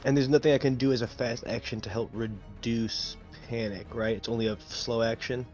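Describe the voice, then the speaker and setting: Male player, mid-range voice, halting casual delivery, dull lo-fi sound with music behind. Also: medium pitch, steady tone, a little mellow. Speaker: tabletop game player. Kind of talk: casual game chat.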